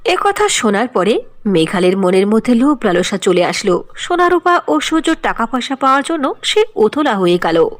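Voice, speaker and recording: উঁচু গলার নারী গল্পকথক. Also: female voice, high-pitched. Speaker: narrator. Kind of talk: scripted cartoon storybook narration.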